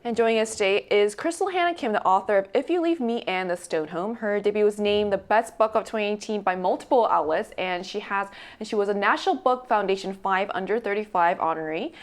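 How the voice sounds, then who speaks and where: A woman hosting a news talk program, reading a scripted guest introduction in a smooth, polished manner. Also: medium pitch, fairly quick, few pauses.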